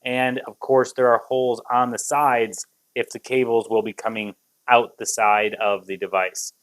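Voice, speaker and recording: A man's voice: mid-range voice, flat delivery, normal speed, fairly bright tone. A man, hosting a casual product review in an upbeat, conversational manner. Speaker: tech review video host.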